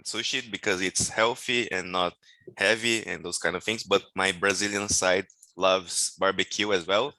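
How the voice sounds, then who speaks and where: A man coaching and moderating a casual group video call, keeping his turns brief and relaxed. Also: deep voice, moderate pace, no echo, rough recording. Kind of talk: casual online group chat.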